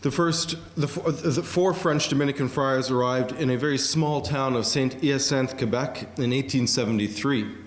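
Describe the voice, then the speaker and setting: A man delivering a prepared academic conference lecture, measured, with occasional fillers. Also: medium pitch. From Canada. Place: museum auditorium. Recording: echoey museum lecture hall.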